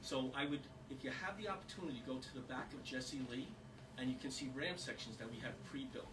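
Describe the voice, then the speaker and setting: A man's voice: mid-range voice, a little fast. A man speaking earnestly to a small audience as a volunteer, with rambling fillers. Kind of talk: informal talk to an audience. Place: small historic house meeting room. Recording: distant mic with background noise.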